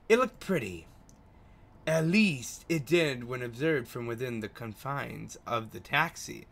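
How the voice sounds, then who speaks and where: A man's voice: on the high side, sharp tone. A man hosting a gaming video, casually commenting and reading game text aloud, sometimes stumbling over words.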